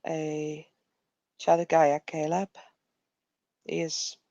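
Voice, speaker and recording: A Dutch-accented man voicing an earnest female werewolf raised in a quiet pack, speaking in a measured, formal way and pausing to find his words. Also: fairly high pitch, completely flat delivery, no echo, highs cut off. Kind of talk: in-character roleplay.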